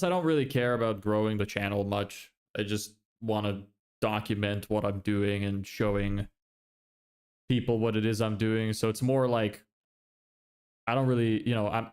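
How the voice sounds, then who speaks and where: Relaxed young man chatting on stream. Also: fairly low pitch, clean tone. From Lithuania, raised in Ireland. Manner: relaxed, rambling, with many restarts. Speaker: livestreamer. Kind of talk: casual livestream chat, reading chat.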